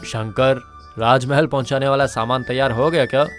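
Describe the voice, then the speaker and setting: A man playing a kindly, conscientious middle-aged village merchant in a moral-story cartoon, earnest and measured. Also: mid-range voice, fairly even intonation, soft background music, close to an everyday voice. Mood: concerned, instructing.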